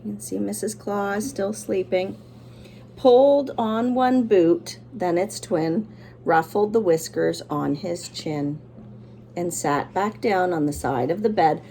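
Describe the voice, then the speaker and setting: A woman's voice: medium pitch, slow pace, gravelly, dark tone, a little hiss or hum. A woman teacher doing a children's read-aloud, with simple, encouraging explanations for young listeners.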